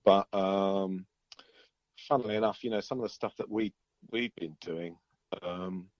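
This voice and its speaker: A man's voice: low voice, measured pace, a little mellow, no echo. A middle-aged man chatting casually as a musician being interviewed, hesitant and rambling with a chuckle. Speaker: interviewed musician, band member. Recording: dull, lo-fi band-limited line.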